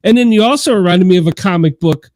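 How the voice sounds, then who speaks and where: A man hosting a podcast, telling stories in an animated, casual way. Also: high voice, fast, no echo, studio quality. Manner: animated storyteller, laughs while telling. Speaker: podcast host.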